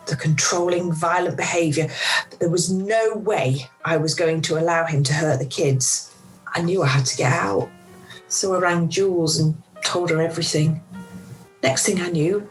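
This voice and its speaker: A woman's voice: lowish voice, close to an everyday voice, rough recording. A middle-aged woman playing a weary, emotional mother who confides wryly in a radio play, recorded over a video call. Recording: amateur video-call recording with music behind.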